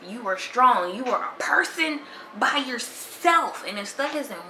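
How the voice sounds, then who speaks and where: A woman's voice: on the high side, animated, measured pace, bright, forceful tone. A woman, talking casually and with animation as a podcast host in a slangy monologue. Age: 20s. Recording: echoey room with faint noise.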